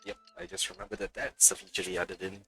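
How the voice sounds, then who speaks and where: A man's voice: low voice, monotone, fast, pushed and bright, faint music behind. A man giving casual, chatty let's play commentary as a game streamer, sometimes reading game text aloud. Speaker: streamer, gamer.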